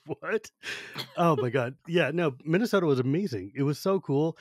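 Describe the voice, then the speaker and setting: A man's voice: fairly high pitch, lively intonation, moderate pace, no room sound, clean studio sound. A male podcast host telling stories in casual chat, with a chatty, enthusiastic, slangy manner. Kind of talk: casual chat, animated storytelling.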